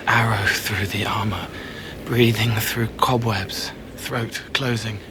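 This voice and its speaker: A man's voice: lowish voice, fairly even intonation, takes it slowly, background noise. An actor voicing a fragile, empathic young male spirit who speaks in pained fragments in a video game. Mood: anguished, haunted.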